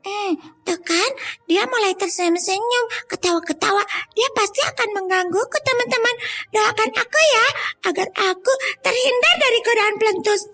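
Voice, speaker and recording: Earnest, devout little schoolgirl, extremely high childlike voice, polite, for children's audio story. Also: normal speed, bright, forceful tone, faint music behind, heavily acted. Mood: nervous. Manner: childlike, polite, confiding in the listeners.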